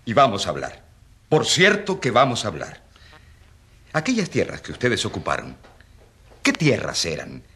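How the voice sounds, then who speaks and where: An actor playing an ironic, defiant rural priest, eloquent and emphatic, in a radio drama. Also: male voice, fairly low pitch, huge pitch swings, normal speed, long pauses, pushed and bright, full character voice. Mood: resolute.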